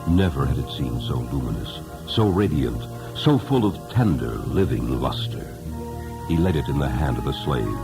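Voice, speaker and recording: A man reading a story as a dramatic narrator, solemn, reverent and measured. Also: very deep voice, lively intonation. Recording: music under the voice. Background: music.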